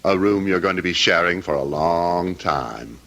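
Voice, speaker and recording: An actor playing a cruel, mockingly polite Southern gentleman villain in a radio drama, with a rough-edged, taunting drawl. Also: deep voice, animated, unhurried, noisy background. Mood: menacing. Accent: American Southern. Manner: taunting, drawling mock courtesy.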